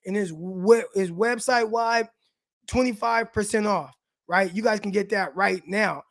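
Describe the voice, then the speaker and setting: A man with a raspy voice hosting a casual live sports show, talking loosely and with slang straight to his viewers. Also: high voice, no room sound, studio quality. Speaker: sports podcast host.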